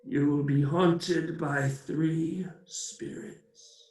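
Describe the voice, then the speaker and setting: A man's voice: a little high, fairly even intonation, very slow, darkish tone. An actor playing the tormented, remorseful ghost of a dead businessman in a radio play, declaiming with grand solemnity in a ghostly reverb. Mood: solemn warning. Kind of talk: theatrical radio play acting. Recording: echoey, distant-sounding voice.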